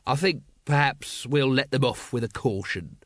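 A man's voice: mid-range voice, moderate pace, no room sound, clean studio sound, close to an everyday voice. A man voicing a weary, exasperated police captain with a hesitant, snappish authority, in a fantasy storybook. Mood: resigned, unsure.

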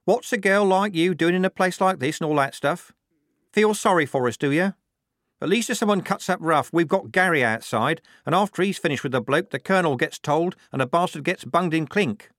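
Cheeky storybook working girl, played by a male reader, raised pitch, chatty, streetwise, very animated. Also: brisk, no room sound, studio quality. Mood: brash, cheeky. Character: streetwise, matter-of-fact young working woman, cheeky and chatty.

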